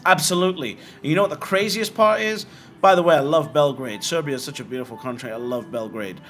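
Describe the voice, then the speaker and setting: British guy, bit higher voice, blunt and chatty, faint music in the background. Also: a bit bright. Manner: frank and blunt, with quick rhetorical questions. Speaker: host answering viewer comments. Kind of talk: casual livestream commentary.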